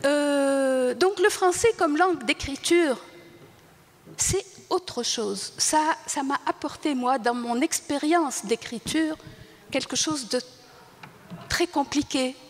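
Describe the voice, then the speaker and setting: An older woman born in Tunisia whose first language is Arabic, speaking at a panel talk and reflecting in a halting way, often searching for words and restarting. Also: sits high. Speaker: writer on a panel. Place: large hall. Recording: reverberant hall.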